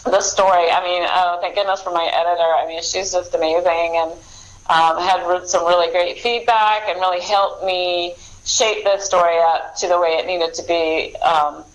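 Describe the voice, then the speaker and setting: A woman's voice: on the low side, steady tone, normal speed, poor audio. An American woman talking casually as an author in an interview, in a chatty, rambling way.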